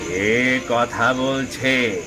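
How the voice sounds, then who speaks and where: A man playing a menacing cartoon sorcerer who makes slow, drawn-out threats. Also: mid-range voice. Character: menacing male sorcerer guarding his enchanted eggplant grove. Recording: music behind the voice.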